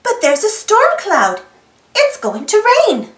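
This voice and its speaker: A woman reading a children's picture book aloud in an animated way, putting questions to young listeners. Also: very high voice, slow pace, echoey room. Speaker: storybook read-aloud host.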